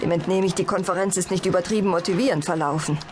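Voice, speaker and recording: A female actor playing a level-headed, sympathetic police detective's colleague in a crime audio drama, speaking in a measured, questioning way. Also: on the low side, steady tone, noisy background, lightly acted. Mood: wry.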